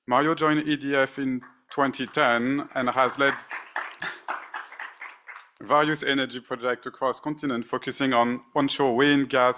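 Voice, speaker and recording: A man hosts a conference panel from the stage, introducing each guest in turn. He speaks at a middling pitch into a stage microphone and often pauses with "uh" and "um" as he reads the bios. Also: a bit bright. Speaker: panel moderator.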